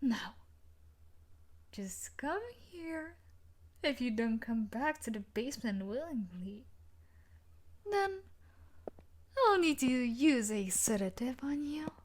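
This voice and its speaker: Woman acting an obsessive scientist, slow and menacing. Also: fairly high pitch, big pitch swings, exaggerated voice. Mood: quietly menacing. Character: obsessive possessive young woman scientist, menacing yet pleading. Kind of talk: audio roleplay acting addressed to the listener.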